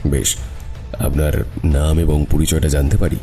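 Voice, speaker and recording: An actor playing a calm, dry-witted detective in an audio drama. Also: male voice, extremely low pitch, normal speed, soft background music. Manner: dry, teasing. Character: detective Rudra, wry and calm.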